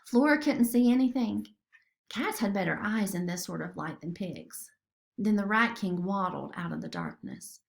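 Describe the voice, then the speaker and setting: A woman's voice: medium pitch, sing-song, normal speed, gravelly. A woman narrating a children's story expressively, as a storybook reader.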